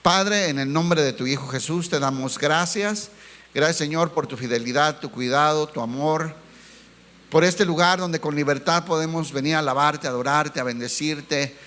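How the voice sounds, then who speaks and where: A pastor with a voice pitched a little above the usual for a man delivers a sermon. He keeps throwing rhetorical questions at his congregation and calls them brothers. He drags out key words to stress them, then rushes through the lines in between. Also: a bit bright. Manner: preachy, rhetorical questions, addresses listeners as brothers.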